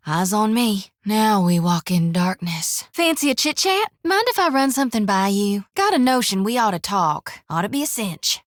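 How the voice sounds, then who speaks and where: A woman voicing a folksy, devout young priestess in a video game, with an earnest, expressive Southern US drawl. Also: medium pitch, dry recording, clean studio sound, close to an everyday voice. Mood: solemn, hushed, then cheerful, folksy. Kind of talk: video game character voice lines.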